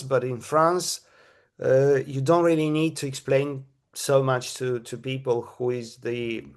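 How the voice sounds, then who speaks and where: A middle-aged man, speaking at a press conference as a theatre director, who stops and restarts often and repeats his words. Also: medium pitch. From Bulgaria. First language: Bulgarian.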